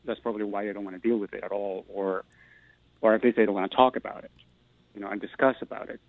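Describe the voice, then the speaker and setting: A man's voice: on the low side, steady tone, fast talker, no room sound, narrow, tinny audio. A middle-aged man from Peru, giving earnest, thoughtful interview answers and restarting words as he searches for them. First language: Spanish. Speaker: guest, Spanish professor.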